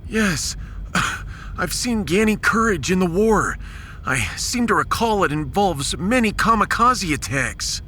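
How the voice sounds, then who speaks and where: An actor playing an affable, battle-tested starship captain with a wry, informal, expressive manner in a science fiction audio drama. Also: male voice, high-pitched, slow, a little hiss or hum, full character voice.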